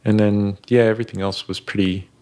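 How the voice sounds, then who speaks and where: A man, a sound engineer guest chatting in a relaxed, rambling way and laughing often. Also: fairly low pitch, brisk. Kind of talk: casual interview talk.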